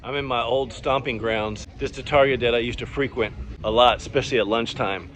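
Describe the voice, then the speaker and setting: A man's voice: medium pitch, steady tone, a little fast, a bit bright, slight background noise. A man hosting a vlog, talking in a casual, chatty way with slangy, laid-back fan enthusiasm. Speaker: YouTube host reviewing movies and toys.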